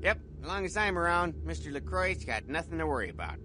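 High-pitched, dim, friendly security guard. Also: male voice, big pitch swings, quick pace, noisy background. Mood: proud, earnest. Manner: chatty, earnest, proud. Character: friendly, simple-minded, self-important male security guard. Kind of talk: video game dialogue.

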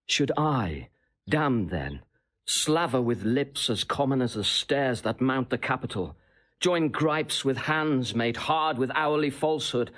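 Husky, scheming Roman seducer. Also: male voice, mid-range voice, fairly bright tone. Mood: brooding disgust. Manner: theatrical, declamatory, elaborate courtly phrasing. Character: smooth, scheming Roman seducer, flattering and insinuating. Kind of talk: classical Shakespearean audio drama acting.

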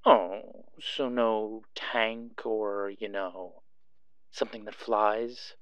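A sly male fixer for an audio roleplay, acted by a man, drawling very slowly and theatrically. He is coy and teasing, always prying, and the dry recording sounds slightly dull. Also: lowish voice, fairly even intonation, a bit bright, clear, resonant tone, no room sound. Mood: smooth, boastful.

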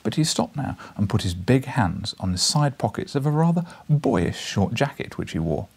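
A British man in his thirties, an audiobook reader with an expressive, informal delivery. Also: medium pitch, normal speed, vocal fry, slightly rough. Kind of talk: expressive story narration and casual address. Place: small book-lined living room, indoors.

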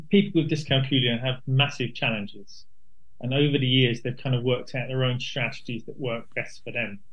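British bloke as radio guest, chatty answers, bit muffled line. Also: male voice, mid-range voice. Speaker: interview guest, dyscalculia network co-founder. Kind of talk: conversational interview answers. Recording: slightly dull remote link.

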